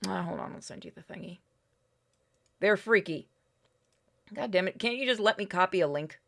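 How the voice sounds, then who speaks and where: A woman's voice: mid-range voice, lively intonation, moderate pace, gravelly, a bit bright. A woman streaming a video game, chatting casually and informally as she plays. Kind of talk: casual chat while playing a game on stream. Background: game music.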